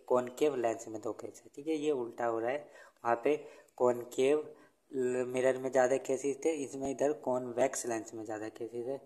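Teacher quizzing himself through lessons. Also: male voice, mid-range voice, a little mellow. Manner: asks and answers own questions; reads English lines slowly. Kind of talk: Hindi-English tutorial over notebook. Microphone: phone camera over notebook.

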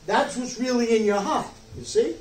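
An older man delivers a church sermon in a high, raised voice. He is emphatic and keeps checking in with short questions. The sound is distant and echoing, with some noise behind him. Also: normal speed, a little mellow. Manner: emphatic, rhetorical questions, check-ins like "okay?" and "you see?". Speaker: pastor. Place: church sanctuary, preaching from a wooden pulpit. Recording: roomy distant mic, some background noise.

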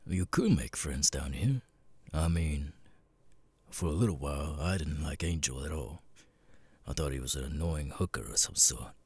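A man voicing a gruff, jaded cat demon bartender in an ASMR roleplay, muttering and swearing wearily with hesitant pauses in a deep, gravelly rasp. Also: expressive, no room sound, heavily acted. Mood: wry, reassuring.

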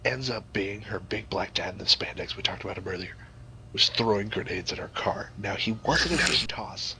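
A man's voice: lowish voice, normal speed, gravelly, bright, forceful tone, a little hiss or hum. A man improvising a crude comic story in a rambling, profane, theatrical way.

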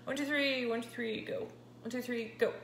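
A woman in her forties chatting casually to camera at home, excitable and a little scratchy-voiced. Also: fairly high pitch, normal speed. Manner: chatty, excitable, talks while handling a meter. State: slightly scratchy voice. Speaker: keto vlogger. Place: kitchen at home, indoors. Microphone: camera pointed down at the countertop. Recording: echoey kitchen with clear background noise.

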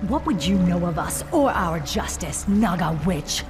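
Casting: vengeful female night elf warden, fantasy game. Lowish voice, slow, stern delivery. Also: expressive. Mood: defiant, stern. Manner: grave, measured, commanding. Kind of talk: video game cutscene acting. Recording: music under the voice.

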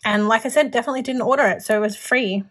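A woman's voice: on the high side, rapid-fire, a bit bright. A young Australian woman chatting casually and informally as she shows off a shopping haul, with a gushing manner. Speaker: planner haul vlogger. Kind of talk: casual chatty product show-and-tell.

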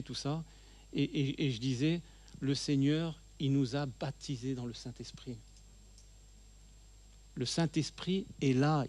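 A man's voice: mid-range voice, a little mellow, no echo. A middle-aged man speaking to a church audience while seated with a handheld microphone, earnest and emphatic, often repeating phrases for stress. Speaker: guest speaker. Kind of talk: church teaching talk. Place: church hall, seated at a table. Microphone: handheld mic.

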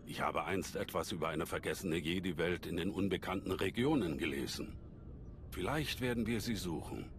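A man playing a calm old alien Jedi master in a German game dub, measured and scholarly, with a deep gravelly voice. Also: background noise. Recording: sci-fi game ambience behind voice.

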